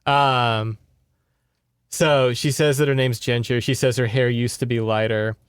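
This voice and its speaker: A man's voice: medium pitch, fairly even intonation, measured pace, clear, resonant tone, no echo, studio quality. A man co-hosting a podcast, recapping a book in a rambling, conversational way with sardonic asides. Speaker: podcast co-host. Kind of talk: casual book recap chat.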